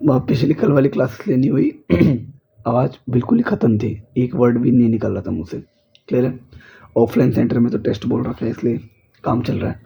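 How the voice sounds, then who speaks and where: Hoarse male teacher. Husky, gravelly, very dark tone. Exam-prep lecture pace with constant check-ins. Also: medium pitch, lively intonation, fairly quick. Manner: repeats checks like "clear?" and "okay?". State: hoarse from a cold. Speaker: chemistry teacher. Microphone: speaker's mic is faulty.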